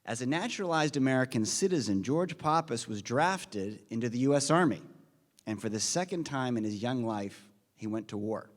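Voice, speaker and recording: Man giving a formal, measured introduction in a hall. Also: medium pitch, animated. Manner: earnest, measured delivery, reads off names and figures. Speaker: speaker introducing the guest at a conference forum. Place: large conference hall. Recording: long reverb of a large hall.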